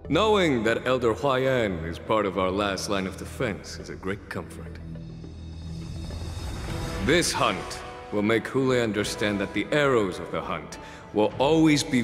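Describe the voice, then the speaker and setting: A deep-voiced, composed strategist general in a video game. He lays out his plans calmly and confidently, with a long reverb and soft music behind him. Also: unhurried. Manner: composed, explanatory.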